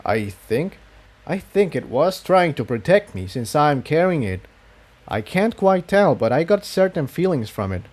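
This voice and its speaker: Male unicorn pony voiced by a man, earnest, cautious, gentle, slightly lifted pitch, mellow, storybook adventure. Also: big pitch swings, unhurried. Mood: grave.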